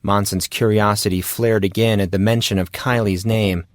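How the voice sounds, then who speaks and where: A man reads dramatic fiction in a low voice. His delivery is scripted and deliberate, in the manner of an audiobook narrator, and the recording is dry and close. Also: steady tone, dry recording.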